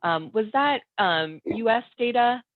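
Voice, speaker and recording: A woman moderating a webinar's questions, polite and filling pauses with frequent ums. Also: fairly high pitch, lively intonation, no echo. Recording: dry, phone-like sound.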